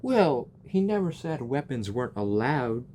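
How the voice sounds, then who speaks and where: A man's voice: medium pitch, very animated, a little slow, dark tone, a little hiss or hum. A young man reacting to a video game, rambling and joking and tripping over his words. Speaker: gaming reaction youtuber. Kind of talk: casual reaction commentary.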